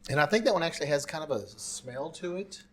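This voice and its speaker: A man's voice: a little high, lively intonation, fast, fairly bright tone, a little hiss or hum. A man co-hosting a podcast in casual, colloquial banter, given to chuckling and the odd swear word. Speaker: podcast co-host.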